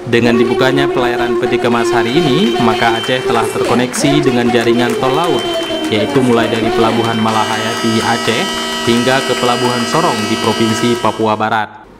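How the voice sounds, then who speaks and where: A man narrating a government news report as a voice-over, in an even, formal reading style. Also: medium pitch, a little fast, over loud music.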